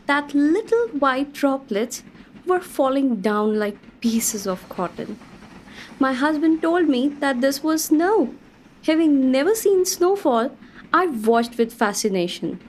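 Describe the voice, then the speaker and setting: High-pitched lady traveller telling her tale. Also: slight background noise, poor audio. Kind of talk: radio play storytelling, reading a travel account.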